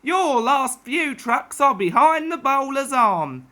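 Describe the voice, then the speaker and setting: A woman voicing polite but excitable male cricket players in a children's story. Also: high-pitched, takes it slowly, pitched above the performer's own voice, full character voice.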